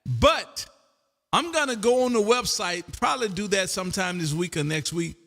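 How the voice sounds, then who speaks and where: High voiced guy chatting on ham radio, folksy n excited, big hall reverb. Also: moderate pace, vocal fry. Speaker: amateur radio livestream host and operator. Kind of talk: casual ham radio chat. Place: radio room at home, livestreamed.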